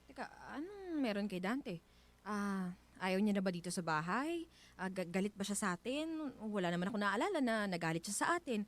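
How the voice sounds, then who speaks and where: A woman with a Filipino accent playing a soft-hearted, attached wife in a radio drama, speaking in a hesitant, emotive way. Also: mid-range voice, sing-song, fairly quick, natural voice. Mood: worried, curious.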